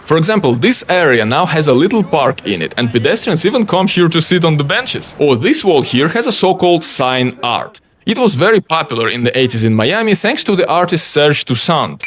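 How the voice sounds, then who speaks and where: A man's voice: a little high, very animated, a little fast, hardly any pauses, a bit bright. A man who speaks English as a second language, narrating a scripted game mod showcase as its creator, with playful, wry asides. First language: not English. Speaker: video creator and game modder.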